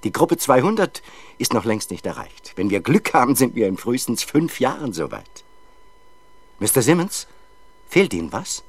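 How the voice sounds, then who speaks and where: A man's voice: mid-range voice, big pitch swings, moderate pace, slightly rough, no room sound. A man acting as a courteous, fussy and precise camera importer in a 1970s German crime radio play. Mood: baffled. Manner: polite, eager, meticulous.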